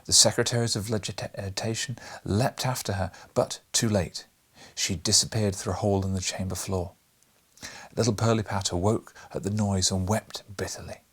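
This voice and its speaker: Male narrator, lowish pitch, husky, measured, dramatic storybook reading. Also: steady tone, fairly bright tone. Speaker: audiobook narrator.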